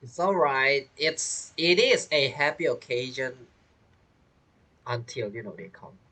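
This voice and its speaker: A woman's voice: very deep voice, expressive, a little slow, a bit bright. A woman doing casual gameplay commentary, chattily talking back to the game's characters. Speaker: game streamer.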